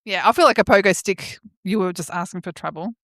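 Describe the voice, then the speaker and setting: An Australian woman in her forties co-hosting a podcast, reminiscing in relaxed, casual conversation. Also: mid-range voice, brisk, no room sound, clean studio sound. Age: 40s. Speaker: podcast co-host. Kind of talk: casual nostalgic chat.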